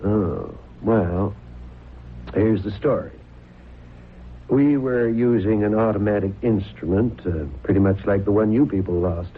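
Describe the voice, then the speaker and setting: An actor playing a plain-spoken American navy commander in a vintage radio drama, informal and matter-of-fact. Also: male voice, lowish voice, a little slow, husky. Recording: tinny, noisy old radio recording.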